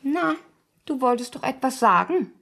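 Bickering partner for radio drama: highish husky voice, needling, curious, argumentative. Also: female voice, expressive, moderate pace, fairly bright tone, heavily acted. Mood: annoyed, bickering. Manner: pointed, teasing, argumentative. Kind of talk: German radio play acting.

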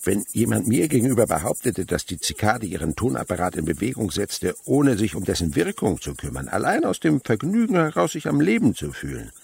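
A man's voice: fairly low pitch, big pitch swings, vocal fry, darkish tone, slightly rough, exaggerated voice. An actor in a German radio play playing an older male naturalist, dreamy and fond of insects, with a poetic, theatrical manner. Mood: musing, lively. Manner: poetic, musing, theatrical, breaks into slow singing.

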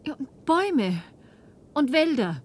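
A woman's voice: sits high, very animated, a little slow, darkish tone. An actress playing an earnest, defiant woman in a radio drama, questioning and pleading. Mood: distressed, questioning.

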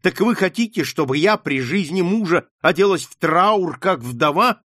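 Man putting on a young woman, anguished daughter, impassioned rising pleas, higher voice, dry close sound. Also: expressive, measured pace, no echo. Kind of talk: audiobook character reading.

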